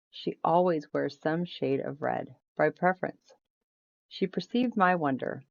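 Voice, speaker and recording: A woman's voice: fairly low pitch, vocal fry, darkish tone. A woman reading a ghost story aloud as scripted storybook narration. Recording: roomy echo, slightly dull sound.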